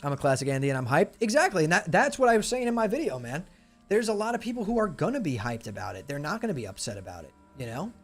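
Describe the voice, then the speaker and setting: A man's voice: fairly high pitch, very animated, fast talker, soft background music. A man in young adulthood, chatting casually with his live stream viewers as he loses his voice. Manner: laid-back, chatty, talks to chat. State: losing his voice.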